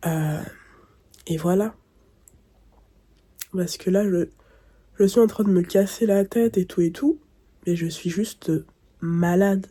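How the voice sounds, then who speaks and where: A woman's voice: lowish voice, slow, long pauses, mellow. A young woman vlogging casually with a blocked nose, sounding nasal and congested, rambling and thinking aloud. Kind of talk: casual vlog talk to camera.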